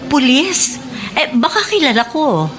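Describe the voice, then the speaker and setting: A woman's voice: high-pitched, sing-song, measured pace, a bit bright, faint music behind, full character voice. A woman playing a kindly, inquisitive schoolteacher with a Filipino accent in a radio drama. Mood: curious. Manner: encouraging, inquisitive. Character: kindly, encouraging, curious female schoolteacher.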